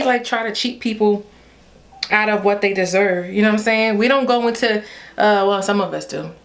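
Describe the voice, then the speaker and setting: A young adult Haitian woman with a Haitian accent, chatting playfully and directly to her viewers in a casual hair vlog. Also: a little high. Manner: chatty, playful, talks straight to viewers with y'all. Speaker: beauty vlogger.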